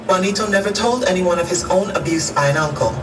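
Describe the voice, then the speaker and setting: A woman's voice: lowish voice, quick pace, noisy background, lightly acted. A woman playing a solemn, compassionate narrator in a radio drama. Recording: echoey, distant, music behind.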